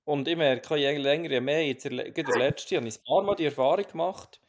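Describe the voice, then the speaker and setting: A man with a Swiss German accent, co-hosting a podcast in a calm, reflective chat with many restarts. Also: a little high, fast. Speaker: podcast co-host.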